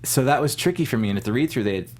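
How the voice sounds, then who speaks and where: A middle-aged man from Southern California, talking casually as an actor guest in an interview, chatty and quick to laugh, with many restarts. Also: mid-range voice, animated, a little mellow.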